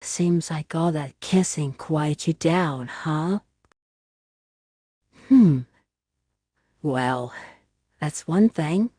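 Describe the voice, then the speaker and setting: A woman playing a gentle, doting mother who soothes her small baby in a soft, tender, cooing ASMR bedtime roleplay. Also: sits low, very slow, pauses often, breathy edge, no echo, clean studio sound, full character voice. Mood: gentle, playful. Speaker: ASMR audio roleplay performer.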